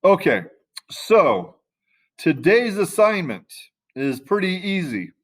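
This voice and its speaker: Male teacher in a classroom, talks loosely and stops to find words, voice a bit on the high side. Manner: loose and conversational, searching for words mid-sentence. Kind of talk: casual classroom lesson.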